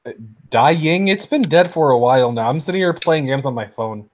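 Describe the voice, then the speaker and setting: A man's voice: medium pitch, animated, moderate pace, a little mellow, slight background noise. A man co-hosting a casual podcast, blunt and teasing, with a lot of swearing. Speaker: podcast co-host. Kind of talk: casual chat.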